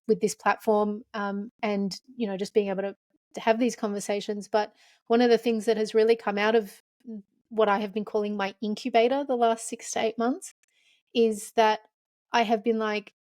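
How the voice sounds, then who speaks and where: A woman's voice: medium pitch, flat delivery, moderate pace, a little mellow, no echo, clean studio sound. A woman from Lebanon hosting a podcast, talking openly and reflectively in casual chat. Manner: open, emotional, reflective. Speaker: podcast host.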